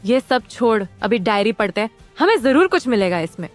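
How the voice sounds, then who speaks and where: A woman's voice: fairly high pitch, sing-song, a little fast, faint music behind. A woman voicing a level-headed, practical young friend who urges others on in a cartoon story. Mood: urging. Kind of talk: dramatised cartoon story dialogue.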